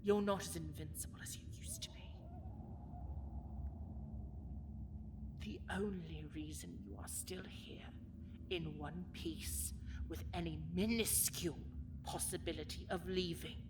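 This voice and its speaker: A woman's voice: fairly low pitch, moderate pace, noisy background, natural voice. A woman playing a cold, bitter villainess who rules a dungeon, speaking with haughty, theatrical menace in a fantasy audio drama. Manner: haughty, menacing, theatrical, swinging from hushed to forceful.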